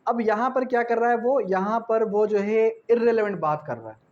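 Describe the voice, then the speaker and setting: High-pitched Pakistani man lecturing. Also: animated, moderate pace, darkish tone, lo-fi sound. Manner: didactic, repeats key phrases and asks rhetorical questions. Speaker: law tutor. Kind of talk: exam-prep lecture mixing Urdu with English legal terms.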